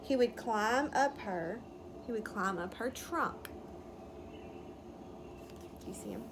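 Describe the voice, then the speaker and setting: A woman with a fairly high, very animated voice reads a picture book to young children like a teacher. She explains simply and checks understanding with questions. She is recorded outdoors, with clear ambient noise and wind chimes behind her. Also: slow. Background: birds chirping, wind chime.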